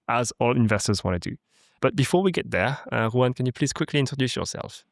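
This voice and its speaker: A man hosting a podcast interview, introducing the topic in a loose, relaxed way with a few "uh"s. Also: mid-range voice, brisk, vocal fry, dry recording, studio quality. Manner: relaxed, with "uh" and "right?". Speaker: podcast host. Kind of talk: interview host.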